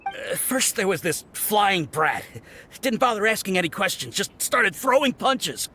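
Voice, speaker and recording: A whining hired thug in a video game, high-voiced and sharp. He is defensive and aggrieved, with very lively pitch and a faint rumbling noise behind. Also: unhurried, full character voice. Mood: confused, aggrieved. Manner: defensive, pleading.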